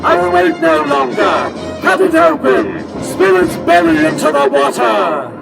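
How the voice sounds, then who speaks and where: A man's voice: very high voice, wildly swooping pitch, measured pace, vocal fry, heavily acted. A man playing an imperious, ruthless alien battle-cruiser commander who gives formal orders in a science fiction audio drama. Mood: impatient, commanding. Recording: distant voice under loud music.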